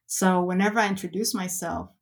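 A woman's voice: fairly low pitch, fairly even intonation, fairly quick, creaky. A woman guest on a podcast, telling a personal story in a reflective, hesitant way, with fillers and restarts. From Canada.

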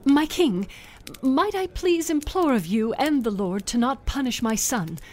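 A man voicing a shrewd, pleading widowed village woman in a storybook retelling, with a soft, breathy voice. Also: very high voice, expressive, measured pace, voice pushed up. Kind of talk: storybook narration.

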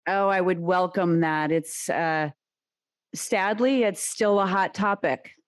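Measured woman executive guest. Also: fairly low pitch. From United States. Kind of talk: business interview.

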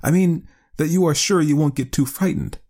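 A man voicing a polite, cautious young gentleman nephew in an audiobook story. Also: fairly high pitch, normal speed, pitched above the performer's own voice. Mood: serious, cautious. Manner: polite, mildly hesitant. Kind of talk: audiobook character voice.

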